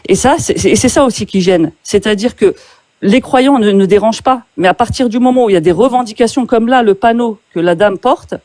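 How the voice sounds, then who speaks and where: Woman interviewer, pointed but halting, warm low-key timbre. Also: no echo. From France. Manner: hesitant, with many fillers and restarts. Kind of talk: pointed questioning in a street debate.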